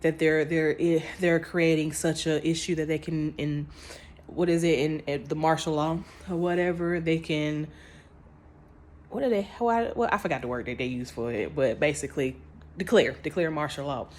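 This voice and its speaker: Low-voiced woman giving casual commentary. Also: moderate pace, a little mellow, slight background noise. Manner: conversational and emphatic, with restarts; informal speech with dropped grammar. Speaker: vlogger.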